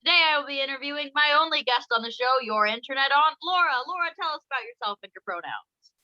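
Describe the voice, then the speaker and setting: Silly young host, bright sharp voice, kinda muffled lo-fi recording. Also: female voice, sits high, lively intonation, fast. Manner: goofy, silly sing-song asides. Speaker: podcast host. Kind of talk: playful casual interview.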